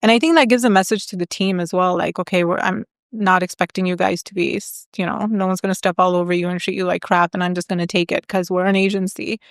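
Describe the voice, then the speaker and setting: A young woman guesting on a podcast, telling candid stories in a lively, chatty way, full of "like" and "um". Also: lowish voice, fast talker, hardly any pauses, no room sound, studio quality. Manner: candid, animated storyteller, lots of "like" and "um". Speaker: guest, agency founder. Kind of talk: casual interview chat.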